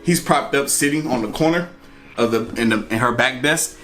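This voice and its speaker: A man's voice: mid-range voice, moderate pace, faint music behind. An American man telling a personal story in a casual, conversational way, acting out bits of dialogue and repeating phrases for emphasis. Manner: animated retelling, acting out dialogue. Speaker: storyteller and vlog host.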